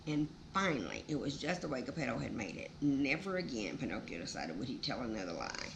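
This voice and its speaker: A woman storyteller with a low, creaky voice reads to children. Her delivery is very animated, with folksy exclamations and chatty asides. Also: fairly quick, background noise.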